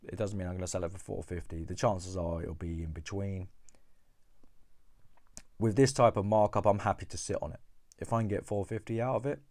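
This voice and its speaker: A man's voice: low-pitched, fairly even intonation, a little fast, darkish tone, no echo. A coin dealer with a cold, chatting casually to camera about coins he bought, rambling and repeating words. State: has a cold.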